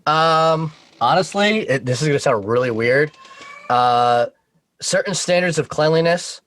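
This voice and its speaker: A man's voice: on the high side, expressive, unhurried, fairly bright tone, no room sound. A man talking casually as a talk show guest, explaining things frankly and with some swearing. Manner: frank, profane. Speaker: guest on a talk show, Coast Guard member.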